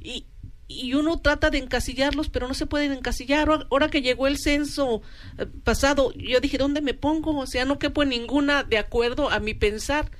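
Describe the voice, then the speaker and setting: A woman's voice: sits high, fairly quick. A middle-aged woman from Mexico hosting a radio talk show, chatting casually with plenty of fillers. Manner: conversational, many fillers like "o sea".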